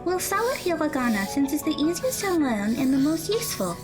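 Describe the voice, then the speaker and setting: Very high, earnest schoolgirl played by a woman. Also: big pitch swings, a little fast, music in the background. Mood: encouraging, helpful. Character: earnest, studious high school girl, encouraging tutor. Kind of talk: audio drama acting.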